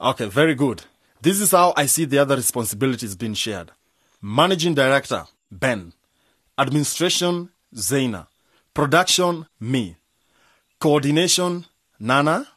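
An actor playing a practical, assured cooperative organiser in a radio drama, speaking in a methodical, matter-of-fact way. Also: male voice, medium pitch, expressive, moderate pace, fairly bright tone, husky, dry recording, clean studio sound. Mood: decisive.

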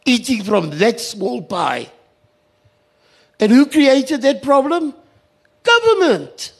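Animated, high-pitched South African man, indignant. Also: slow, creaky. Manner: indignant, emphatic, sarcastic, repeats phrases for effect. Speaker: community radio sector representative on a panel. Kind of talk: impassioned panel speech.

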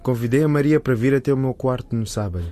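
An actor playing a confident, scheming student in a radio drama, self-assured and insistent. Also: male voice, mid-range voice, fast talker, mellow, lightly acted. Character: confident, scheming male boarding-school student.

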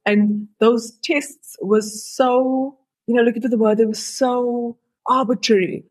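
A woman's voice: on the high side, fairly even intonation, slow, darkish tone, breathy edge, no room sound, poor audio. A woman interviewing an author on a podcast, reflecting at length before she asks her questions. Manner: reflective, builds long explanations before asking a question. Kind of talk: conversational interview.

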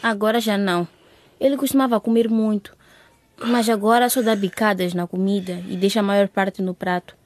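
A woman's voice: mid-range voice, fairly even intonation, normal speed, a little mellow, no echo, close to an everyday voice. A woman acting as a caring, outspoken young daughter in a radio drama, earnest and insistent. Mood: worried.

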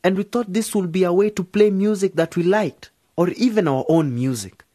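An earnest young journalism student. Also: male voice, high voice, moderate pace, mellow, no room sound, clean studio sound. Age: young adult. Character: young male journalism student starting a radio station. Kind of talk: radio drama acting.

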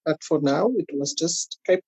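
A South African woman chatting casually as an interview guest, telling personal stories in an animated way. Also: sits low, unhurried, creaky, breathy edge, no echo. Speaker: podcast guest.

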